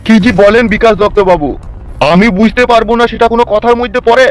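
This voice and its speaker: A man voicing an excitable, needling henchman who fawns on his boss in a comic cartoon. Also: very high-pitched, fast. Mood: flattering, confused. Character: goading, dim-witted sycophant henchman of a politician. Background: music.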